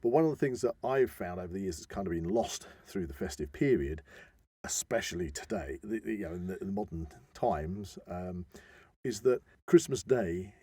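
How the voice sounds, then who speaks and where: A low-voiced man with a mellow, noticeably husky tone, recorded close and dry. He hosts a podcast, explaining in a casual, keen storytelling way with plenty of asides. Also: expressive, moderate pace, no echo. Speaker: podcast host.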